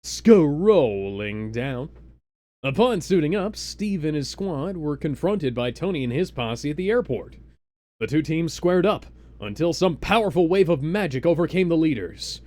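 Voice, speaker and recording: A man's voice: a little high, very animated, measured pace, darkish tone. A man reading a comic script theatrically on a live stream, sometimes breaking off into banter. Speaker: co-reader on a live comedy stream.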